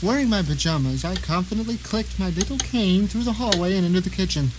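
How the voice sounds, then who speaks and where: A man acts out a bitter, paranoid blind writer in an audio drama, his voice pitched high. He tells his own story with wry grumbling and theatrical pauses, over clearly audible rain and ambient noise. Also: lightly acted. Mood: confused, irritated. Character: bitter, paranoid blind male novelist telling his nightmare. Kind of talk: first-person dramatic audio drama narration.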